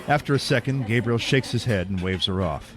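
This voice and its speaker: A man's voice: on the low side, a little fast, background noise. A man narrating an audio drama from a script as its storyteller. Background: background music.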